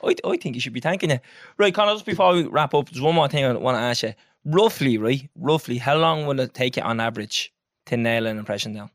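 A man's voice: medium pitch, animated, fast, no room sound, clean studio sound. A man hosting a casual interview, asking chatty, prodding questions. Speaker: podcast host.

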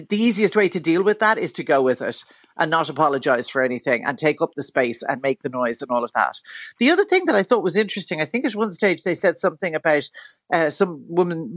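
A middle-aged Irish woman with a Dublin accent and a big, loud voice talks as a radio guest. She speaks in a forthright, chatty way and laughs easily, and her voice comes through dry and close with no room echo. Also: sits low. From Ireland. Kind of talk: casual radio chat.

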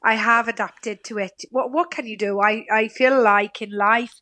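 A woman's voice: on the high side, fairly even intonation, normal speed, pushed and bright. A woman from Lincolnshire with a Welsh accent, a guest on a radio show, talking casually with plenty of ums. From Lincolnshire, England. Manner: chatty, many ums, laughs easily. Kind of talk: casual phone-in chat.

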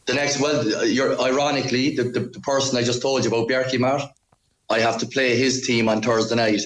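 A man's voice: mid-range voice, flat delivery, brisk, low-quality recording. A man with a Cork accent, a football coach chatting on a remote call and telling stories with a laugh. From Ireland. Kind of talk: casual interview chat.

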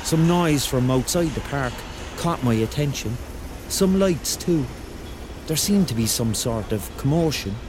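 Animated Cork storyteller, played by an actor. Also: male voice, medium pitch, measured pace, noisy background, lightly acted. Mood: wry storytelling. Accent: Cork Irish. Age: 30s. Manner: colloquial, conversational storytelling. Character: rambling, excitable thirty-year-old Corkman on a surreal night out. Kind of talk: radio drama acting, first-person narration and dialogue.

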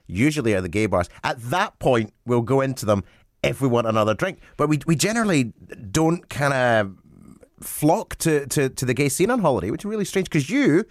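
A Scottish man whose pitch swings up and down as he banters with his co-host. The sound is dry and close-miked. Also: animated, fairly quick, no echo. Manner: laughs often, warm banter. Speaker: radio co-host. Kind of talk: casual chat.

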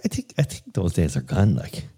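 A man's voice: low voice, wildly swooping pitch, normal speed, warm tone, husky. A man chatting casually on a podcast. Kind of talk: casual chat.

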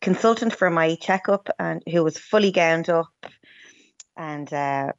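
A low-voiced woman in her thirties recounts her experience with many pauses and ums. The sound is slightly dull and muffled, as if it had lost its top end. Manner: hesitant, lots of ums, storytelling. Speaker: new mother, guest. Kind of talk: radio interview.

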